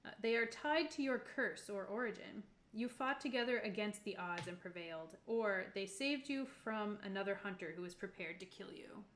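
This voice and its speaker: A woman's voice: mid-range voice, lively intonation, normal speed, few pauses, fairly bright tone, a little hiss or hum. A woman talking casually at a tabletop roleplaying game, sometimes reading options aloud. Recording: roomy, echoing room sound.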